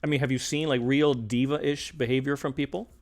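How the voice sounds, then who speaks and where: Male radio interview host, average pitch, conversational delivery. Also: fairly even intonation, a little fast, darkish tone.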